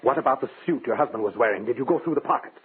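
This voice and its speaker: An actor playing a nervous, officious, hectoring communist cell leader in an old radio drama, on a tinny, noisy recording. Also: male voice, mid-range voice, animated, very fast, breathy edge. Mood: insistent, officious.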